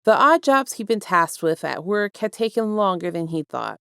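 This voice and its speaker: A woman reading fiction aloud as an expressive, scripted narration with measured, deliberate phrasing. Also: mid-range voice, gravelly, clear, resonant tone, no echo, studio quality. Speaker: fan fiction reader.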